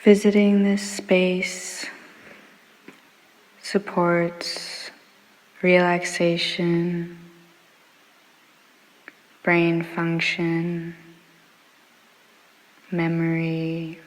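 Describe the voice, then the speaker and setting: A woman leading a guided meditation in a soothing ASMR style, speaking in short unhurried phrases with long pauses. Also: on the low side, monotone, low-quality recording. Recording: waves and rain sound clearly behind. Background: ambient ocean waves and rain.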